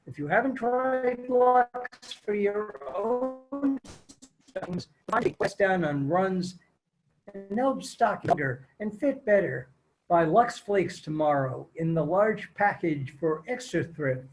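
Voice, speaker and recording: A man's voice: high-pitched, expressive, slow pace, faint music behind, rough recording. A man reading scripted old-time radio announcements as the show's announcer in a virtual table read. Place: remote video call.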